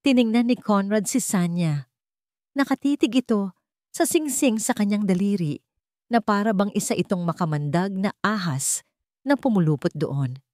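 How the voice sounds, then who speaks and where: A woman storyteller giving a scripted, dramatic narration of a love story in a measured, solemn manner. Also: medium pitch, animated, darkish tone, no echo, studio quality.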